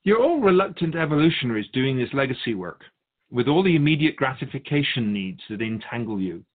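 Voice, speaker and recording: Wise otherworldly soul guide, played by a man: patient, didactic, measured, gently chiding, expressive pitch movement, for spiritual radio dialogue. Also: narrow, tinny audio. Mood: patient, explaining.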